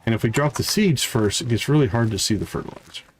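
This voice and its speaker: A man's voice: mid-range voice, expressive, fast. Man casually streaming a game, noisy background. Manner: thinking aloud, addressing viewers casually. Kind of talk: casual live gameplay commentary.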